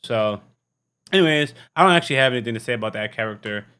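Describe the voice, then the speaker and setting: A man's voice: mid-range voice, expressive, fast, pushed and bright, clean tone. A man hosting a reaction video, talking casually and candidly in a rambling, tired way. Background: music.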